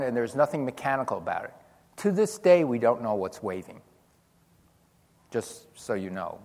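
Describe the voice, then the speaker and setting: An older man giving a physics lecture to an audience, telling stories with dry humor and lively demonstrations. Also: mid-range voice. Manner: animated storytelling with dry humor. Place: large lecture hall. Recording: long hall reverb.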